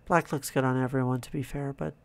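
A man quietly narrating his own drawing process, in a soft-spoken, calm way, thinking out loud. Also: medium pitch, a little fast, vocal fry. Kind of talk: casual relaxed commentary over drawing.

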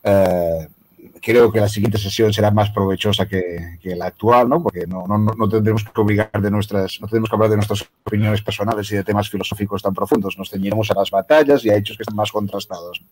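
Fast-talking man. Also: lowish voice, fairly even intonation, darkish tone, low-quality recording. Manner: quick, discursive, lecturing. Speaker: guest researcher. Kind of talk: video call debate. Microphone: video call.

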